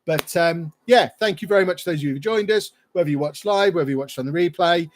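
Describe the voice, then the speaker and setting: A man's voice: fairly high pitch, fast. Chatty man on a livestream. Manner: chatty, rambling, reads viewer questions aloud. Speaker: YouTuber hosting a livestream Q&A. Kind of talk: casual livestream chat.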